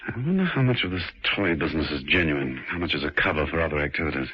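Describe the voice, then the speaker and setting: A suave, deep-voiced gentleman agent. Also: lively intonation, fast talker, crowd noise. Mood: cool. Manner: dry, urbane, understated. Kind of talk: 1960s radio drama acting. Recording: noisy lo-fi old radio.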